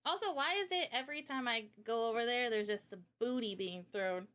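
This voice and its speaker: A woman's voice: a little high, fairly quick, fairly bright tone. A woman guesting on a casual livestream as a game developer, with a bubbly, giggly, teasing way of talking. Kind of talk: casual livestream chat and introduction.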